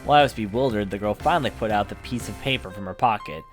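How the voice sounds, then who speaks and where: A man's voice: lowish voice, fast talker. A man reading a visual novel aloud as a let's play host, narrating with his own off-the-cuff commentary, game music behind him.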